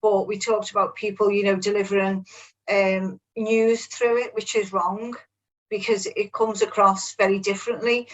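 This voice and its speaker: A woman's voice: medium pitch, level tone, normal speed, poor audio. A middle-aged woman sharing reflections in an online group discussion in an earnest, plain-spoken way. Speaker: manager taking part in a webinar. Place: online video call.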